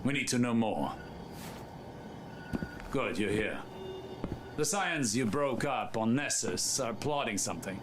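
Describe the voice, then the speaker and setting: A man playing a shrewd, guarded mentor in a game cutscene, measured and cryptic in delivery. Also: medium pitch, very animated, noisy background. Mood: calm, guarded. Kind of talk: scripted game cutscene acting.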